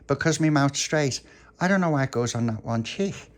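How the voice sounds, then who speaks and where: A proud, defensive small boy from a children's story, acted by a man, with a raised pitch. He insists and repeats himself. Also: lively intonation, moderate pace, natural voice.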